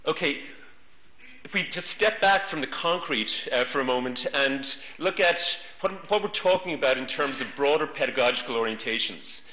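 A man's voice: mid-range voice, expressive. Man lecturing in an echoing hall. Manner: measured, thoughtful, many fillers. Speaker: keynote speaker. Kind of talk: conference lecture. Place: large conference hall. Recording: roomy, dull conference recording.

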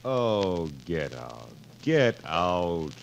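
A man's voice: low-pitched, sing-song, extremely slow, full character voice. Swooping, peevish, sardonic king. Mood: exasperated. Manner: theatrical, querulous, swooping delivery. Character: sly, peevish, sardonic old-world King of France. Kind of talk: 1940s radio drama acting. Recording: noisy old 1940s radio broadcast.

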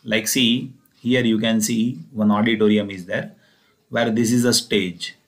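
A man's voice: lowish voice, steady tone, unhurried. A man with an Indian accent explaining engineering material step by step as a lecturer, in an explanatory, repetitive way. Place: voice-over recorded over slides.

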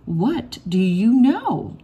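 A woman reading a rhyming children's picture book aloud in an expressive, measured storytelling manner. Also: mid-range voice, slightly rough, highs cut off. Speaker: read-aloud storybook reader.

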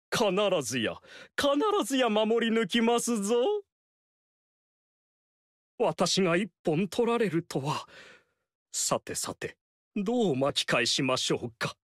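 An actor voicing a flamboyant, fawning court strategist in a video game, speaking in a theatrical, courtly way and repeating phrases for emphasis. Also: male voice, high-pitched, no room sound, studio quality, full character voice. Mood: scheming. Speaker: game character.

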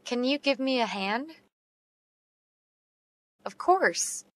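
Female, slow deliberate delivery, clear diction, dry studio sound. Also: fairly high pitch, expressive, no room sound. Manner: slow and carefully enunciated. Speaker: English teacher reading dialogue. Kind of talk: slow read-aloud English practice phrases.

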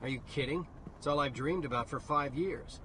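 An actor playing an eager, easygoing young military recruit in a video game. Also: male voice, medium pitch, moderate pace, background noise, natural voice.

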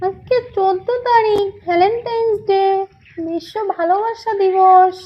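Woman as a little girl, very high voice, chirpy, coaxing big sister, children's doll story, slightly dull audio. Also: moderate pace. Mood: eager. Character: little village girl, eager responsible elder sister.

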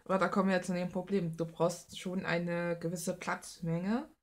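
Low-pitched young woman, casual rambling. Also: flat delivery, moderate pace. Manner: informal, self-deprecating, mildly crude. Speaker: hobby artist and video host. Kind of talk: casual rambling art process commentary. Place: small cluttered room at home, indoors.

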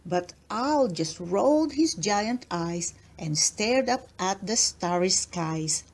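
A woman narrating a children's picture book aloud in an expressive storytelling manner. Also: mid-range voice, slow.

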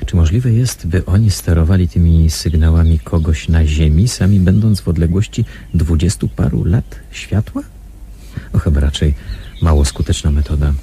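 An actor playing a wary, thoughtful undercover investigator in a radio drama, with a measured, questioning manner. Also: male voice, sits low, mellow. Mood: wary, probing. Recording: noisy recording with background ambience.

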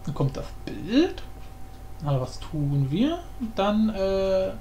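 A man's voice: high voice, big pitch swings, slow pace, dark tone. A man thinking aloud in slangy, casual talk as he hosts a screen-recorded coding tutorial, muttering to himself. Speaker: tutorial host and hobby game programmer. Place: indoor room, voice over screen capture. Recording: roomy echo, faint background noise.

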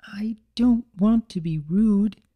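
A man's voice: high voice, takes it slowly, muffled recording, pitched above the performer's own voice. A man voicing a timid, sweet young female pegasus pony, anxious and hesitant, stammering in a storybook reading. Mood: meek, hesitant.

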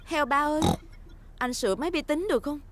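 A woman voicing a caring, gently firm mother pig talking expressively to small children in a cartoon dub. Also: sits high, animated, fast, heavy background noise. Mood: appealing. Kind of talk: Vietnamese-dubbed preschool cartoon acting. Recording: loud cartoon music behind.

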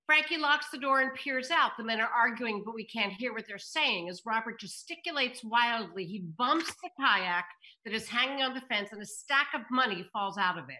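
A woman's voice: on the high side, lively intonation, normal speed, bright, forceful tone, poor audio. A middle-aged woman hosting a table read over video call, reading stage directions and addressing viewers. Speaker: table read narrator and host.

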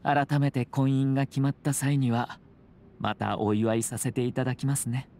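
An actor playing a polite young clan head in an anime game, speaking in a measured, formal way. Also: male voice, mid-range voice, flat delivery, warm tone, slight background noise, natural voice. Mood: gracious. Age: young adult. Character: composed, courteous young noble clan head. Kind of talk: Japanese anime game voice acting. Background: music.

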